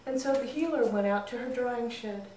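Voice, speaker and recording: A woman storyteller narrating a folk tale expressively, with deliberate, dramatic phrasing. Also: fairly high pitch, warm tone, slight background noise. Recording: roomy echo, distant mic.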